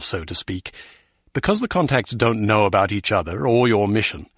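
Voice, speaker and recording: Stern husky male spymaster. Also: lowish voice, fairly bright tone, no echo, clean studio sound, heavily acted. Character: stern, condescending male spy agency superior. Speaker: spymaster giving mission briefing. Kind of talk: video game voice acting, scripted briefing.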